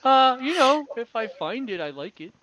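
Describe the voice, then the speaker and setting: A man playing a solitary, good-humored werebear who explains his curse in a plain, halting way. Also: extremely high pitch, big pitch swings, a crowd in the background, voice pushed up. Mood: casual, amused.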